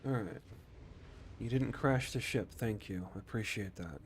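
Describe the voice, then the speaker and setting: Male game streamer, low register, casual talk mixed with role-play commands, slight noise behind. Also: normal speed. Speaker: streamer playing a space flight game.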